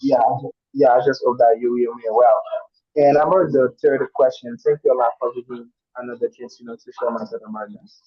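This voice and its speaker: A man's voice: medium pitch, steady tone, brisk, breathy edge, no room sound. Hesitant man on a muffled call. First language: Haitian Creole. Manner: hesitant, many "you know" fillers and restarts. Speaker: student in an online speaking class. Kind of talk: casual spoken answer. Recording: muffled online call audio.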